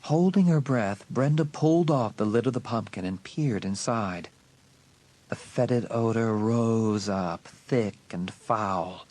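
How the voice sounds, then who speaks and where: Husky male horror audiobook narrator. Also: fairly low pitch, darkish tone. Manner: suspenseful, deliberate emphasis. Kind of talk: dramatic scripted horror narration.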